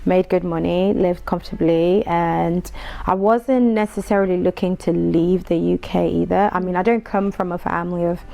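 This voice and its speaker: A woman's voice: on the low side, steady tone, moderate pace, vocal fry, mellow. A young woman from Congo, a guest telling her story in casual conversation, laughing often and talking with animation. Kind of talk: casual interview chat.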